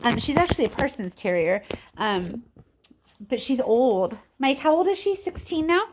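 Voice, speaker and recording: A woman's voice: on the high side, animated, normal speed, a little hiss or hum, poor audio. A woman reading a children's book aloud and chatting informally and in a friendly way with her young audience. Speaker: reader addressing children. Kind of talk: read-aloud storytelling with casual chat. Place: at home. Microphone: cell phone camera.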